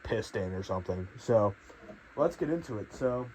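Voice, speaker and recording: A young man, his voice a little hoarse from a cold, talks through a piece of gear in an easy, meandering way. He sits slightly low in pitch and hedges often, with plenty of fillers. A faint background hum runs underneath. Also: expressive, normal speed, a little mellow, rough recording. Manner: rambling, hedging, many fillers. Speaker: gear reviewer. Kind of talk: casual product review.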